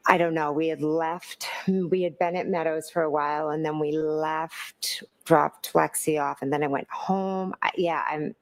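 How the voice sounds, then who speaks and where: A woman with a low voice answers as a witness in court. Her delivery is hesitant and uncertain, and the sound is quite dry. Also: steady tone, unhurried, hardly any pauses, no echo. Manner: hesitant, unsure. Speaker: witness under cross-examination. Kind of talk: courtroom testimony.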